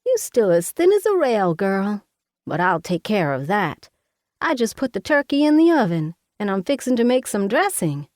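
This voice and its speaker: A woman's voice: medium pitch, sing-song, normal speed, darkish tone, no echo, studio quality, pitched above the performer's own voice, lightly acted. A woman voicing a fussy, outspoken older mother, talking in a folksy, scolding way. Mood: fussing.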